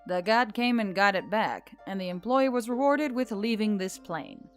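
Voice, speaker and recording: A woman voicing a wry, weary male boss of a magical tech office in an audiobook story, speaking in a measured, murmuring way. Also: medium pitch, expressive, faint music behind, natural voice. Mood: matter-of-fact. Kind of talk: audiobook character dialogue.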